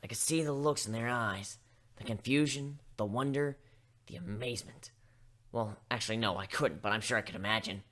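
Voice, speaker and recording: A man's voice: medium pitch, normal speed, fairly bright tone. A man reading first-person fan fiction aloud as a scripted dramatic narration. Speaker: story reader.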